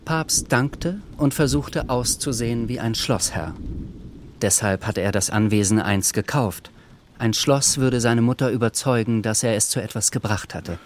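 An actor playing a sober literary narrator in a radio drama, telling the story in a measured, dry manner. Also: male voice, medium pitch, slight background noise, natural voice.

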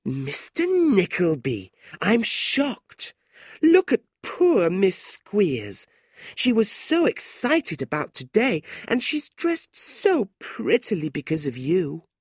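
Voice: medium pitch, big pitch swings, moderate pace, hardly any pauses, a little mellow, dry recording, clean studio sound, pitched above the performer's own voice. A narrator voicing a sly, spirited young woman in a storybook, with emphatic, swooping speech. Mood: shocked reproach.

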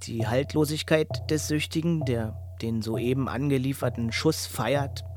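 A man narrating a radio documentary in a measured, grave manner. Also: mid-range voice, a little mellow. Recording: narration over faint music.

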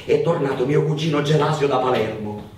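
A man's voice: mid-range voice, fairly quick, darkish tone, lightly acted. A man acting an anxious, gossiping Sicilian village housewife, confiding and folksy, in theatrical radio drama dialogue. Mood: worried gossiping. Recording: echoey, distant, faint noise.

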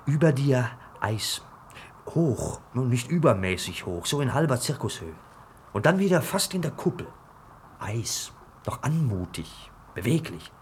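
An actor in a German radio play as an obsessed, restless dreamer, talking in theatrical fragments. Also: male voice, medium pitch, sing-song, unhurried, a little hiss or hum. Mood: rapt, bitter. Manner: theatrical, fragmented, brooding delivery. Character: obsessed, restless dreamer retelling a circus story.

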